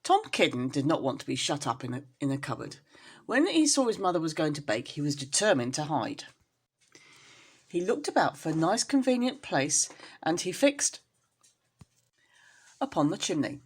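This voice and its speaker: A woman storyteller reading expressively to young children, in an engaging, animated manner. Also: low voice, normal speed, long pauses, breathy edge. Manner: animated, addresses young listeners with questions.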